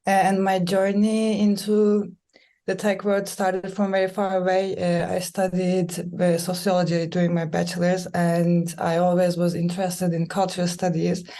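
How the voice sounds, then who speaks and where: A woman's voice: lowish voice, monotone, moderate pace, warm tone. A young woman from Turkey, earnestly and hesitantly introducing herself on a webinar. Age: young adult. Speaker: panel guest, junior data scientist. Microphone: video call.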